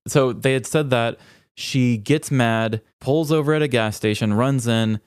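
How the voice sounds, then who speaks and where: A man's voice: mid-range voice, a little slow, clean tone, no echo, studio quality. A man co-hosting a podcast, telling stories in casual chat and acting out quoted lines as he goes. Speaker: podcast co-host.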